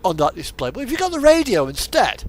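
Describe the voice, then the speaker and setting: A man's voice: high-pitched, huge pitch swings, fast talker. A man giving casual, rambling gameplay commentary in a hesitant, meandering way over background music. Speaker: streamer hosting a truck simulator video. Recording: music playing behind the voice. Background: radio music, truck simulator game sound.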